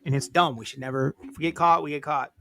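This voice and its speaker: A man's voice: on the high side, fast, slight background noise. A man in his forties co-hosting a podcast in casual chat, joking and swearing freely. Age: 40s. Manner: joking, swears freely, lively shifts of level. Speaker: podcast co-host.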